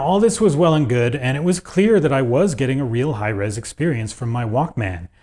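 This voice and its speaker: A man's voice: on the low side, a little mellow. A man hosting a video, narrating a script about audio gear in a conversational, opinionated way with dry asides.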